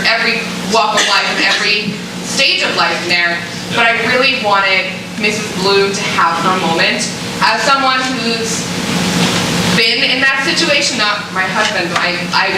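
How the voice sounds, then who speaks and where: Woman giving feedback in a very echoey room. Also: medium pitch. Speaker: audience member giving feedback on a play reading. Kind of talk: spoken critique at a post-show discussion. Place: large echoing room, picked up from afar. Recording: very echoey, noisy room, distant mic.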